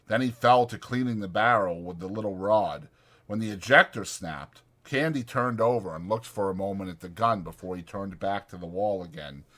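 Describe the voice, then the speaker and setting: Guy narrating an audiobook, scripted story reading, bright forceful voice. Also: deep voice, normal speed.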